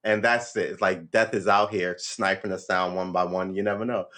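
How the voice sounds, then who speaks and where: Low-voiced man in his fifties, musing. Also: normal speed. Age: 50s. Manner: rambling, restarts, frequent "you know" and "right?"; lively pitch swings when animated. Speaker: vlogger. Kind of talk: reflective monologue. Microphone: livestream setup.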